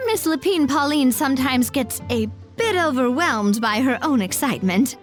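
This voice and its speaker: A gracious young company president for a video game. Her voice is high and melodic, her manner polite, composed and lightly teasing. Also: expressive, normal speed, soft background music. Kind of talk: animated video game acting.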